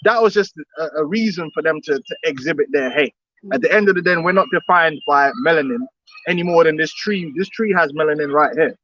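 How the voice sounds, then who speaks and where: A man's voice: on the high side, brisk, bright, forceful tone, no echo, muffled recording. A man preaching outdoors on the street, emphatic and repetitive, often laughing between his points.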